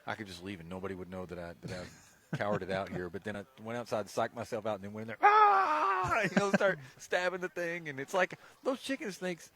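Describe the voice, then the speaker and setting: A man's voice: fairly low pitch, brisk. A male radio sports broadcaster, telling stories casually on air and animatedly acting out what people said. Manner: animated storyteller, acts out quotes. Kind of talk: casual on-air storytelling and banter. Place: live high school football radio broadcast.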